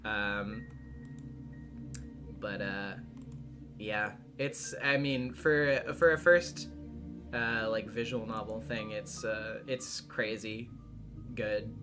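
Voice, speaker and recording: A man hosting a casual livestream, talking in a halting way and often trailing off mid-thought. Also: on the low side, fairly even intonation. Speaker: streamer and voice actor hosting a visual novel readthrough. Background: background music.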